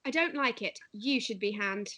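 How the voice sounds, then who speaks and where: A woman's voice: medium pitch, normal speed, pushed and bright. A woman playing an anxious, scheming noblewoman in a script table read, with an urgent, wary manner.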